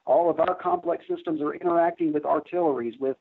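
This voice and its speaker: A man explaining technical matters conversationally as an interview guest, halting and often restarting his words. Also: on the high side, fairly even intonation, gravelly, breathy edge. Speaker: interview guest, air traffic controller. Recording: narrow, tinny phone-like line.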